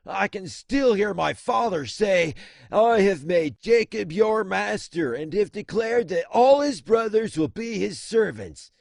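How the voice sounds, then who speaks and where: Aggrieved rugged elder brother , acted by a man: slowish, highish gravelly voice, sardonic, for comic radio play. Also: no echo, exaggerated voice. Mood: bitter, exasperated. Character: rough outdoorsy elder twin, aggrieved, sardonic, dim. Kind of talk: comic audio drama interview.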